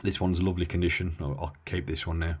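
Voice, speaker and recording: A man from the Midlands demoing a radio at his bench in a casual, halting way with lots of fillers. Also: deep voice, flat delivery, darkish tone, slightly rough. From Midlands of England. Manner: halting, many fillers and restarts. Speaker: radio hobby vlogger. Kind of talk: casual hands-on demo.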